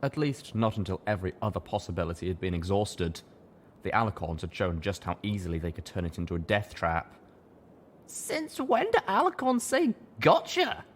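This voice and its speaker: A man narrating a first-person fantasy audiobook in a steady storytelling manner. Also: low voice, a little fast, slight background noise.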